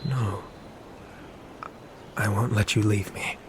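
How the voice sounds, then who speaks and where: A man's voice: on the low side, fairly even intonation, slow pace, slight background noise. A man playing a devoted, clingy male knight in a fantasy ASMR roleplay, earnest and coaxing. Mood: desperate refusal.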